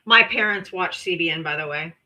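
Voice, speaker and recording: A woman's voice: lowish voice, a little fast, pushed and bright, rough recording. Taunting woman in an online debate. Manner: pointed, taunting, laughs at opponent. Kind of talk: casual heated online debate.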